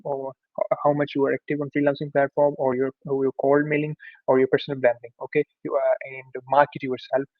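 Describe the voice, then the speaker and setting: A man's voice: mid-range voice, no room sound. A young man who is not a native English speaker, hosting a tutorial and talking without a script, with many fillers and restarts. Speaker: tutorial host. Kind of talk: unscripted course introduction with screen share. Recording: tinny phone-like sound.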